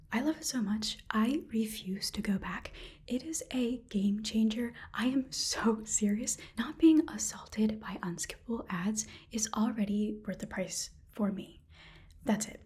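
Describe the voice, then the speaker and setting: A woman's voice: a little high, darkish tone, slightly rough. A woman whispering breathily in a casual ASMR style as she reads a sponsor message.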